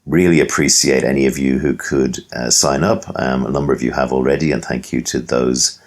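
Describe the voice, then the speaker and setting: A man's voice: a bass voice, steady tone, a little fast. A man with an Irish accent hosting a podcast, talking casually to listeners and guests. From Dublin, Ireland. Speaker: podcast host. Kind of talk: casual podcast introduction and interviewing.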